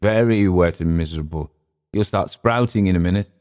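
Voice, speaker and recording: A man's voice: deep voice, fairly quick, darkish tone, natural voice. A man voicing a bitter, volatile, drink-sodden Englishman in a literary story. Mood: mocking. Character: bitter, drunken, volatile Englishman gone to seed.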